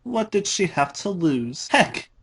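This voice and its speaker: A husky voice reads a story aloud at an unhurried, deliberate pace. The intonation moves widely up and down through the narration. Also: mid-range voice, very animated, fairly bright tone. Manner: slow, deliberate reading. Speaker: story reader. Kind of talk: dramatic story reading among friends.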